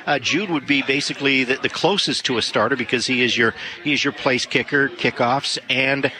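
A man's voice: mid-range voice, brisk, sharp tone. A man hosting a sports talk show, chatty and jokey, restarting his sentences as he explains the team news. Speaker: sports radio host.

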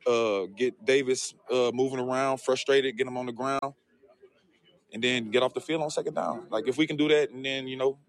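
A man's voice: mid-range voice, steady tone, normal speed. A man who plays football, answering interview questions in a loose, rambling way full of fillers and restarts. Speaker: football player.

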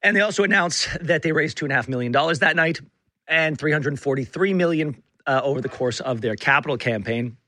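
Bright, slightly hoarse male radio host. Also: on the high side, fairly quick, no room sound. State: hoarse, rough voice from being unwell. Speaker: radio talk show host. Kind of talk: talk radio monologue and banter. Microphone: close studio broadcast mic.

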